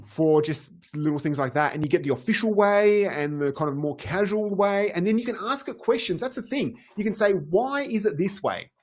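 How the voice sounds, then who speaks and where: A middle-aged man co-hosting a casual podcast, talking in an easygoing, agreeable way with quick bursts. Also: high-pitched, expressive. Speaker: podcast co-host. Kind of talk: casual chat.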